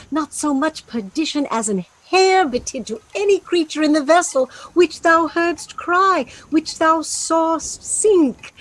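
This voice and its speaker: A woman's voice: high-pitched, poor audio. A woman playing a commanding, tender sorceress mother with stately delivery in a Shakespearean radio play. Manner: stately, deliberate. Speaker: actor in a Shakespeare audio play. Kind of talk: Shakespearean radio drama acting.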